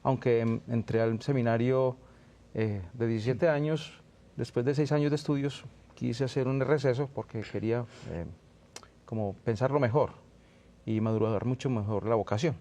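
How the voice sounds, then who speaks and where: A Colombian man in his thirties with an Antioquia accent speaks in a mellow, relaxed voice at middle pitch. He is a priest answering reflectively, pausing to search for his words.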